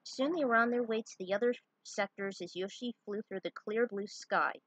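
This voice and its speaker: Female storyteller, slightly rough husky voice, close and dry, informal narration with off-the-cuff asides. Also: mid-range voice, moderate pace, fairly bright tone, dry recording, low-quality recording. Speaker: fan fiction writer reading their own story.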